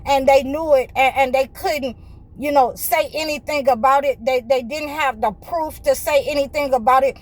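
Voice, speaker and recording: A woman with a high voice preaches to her viewers in a fervent, circling way. She keeps restarting her sentences and leaning on "you know", and at times pushes up close to a shout. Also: steady tone, moderate pace. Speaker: spiritual healer and channel host.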